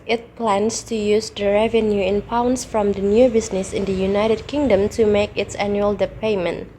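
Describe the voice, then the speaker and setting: A young student from Indonesia talks through finance homework answers at a steady pace, her voice nasal because she is sick. She restarts a word now and then. A faint hiss sits under the recording. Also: medium pitch. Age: young adult. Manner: steady step-by-step explaining with small restarts. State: sick with a stuffy nose.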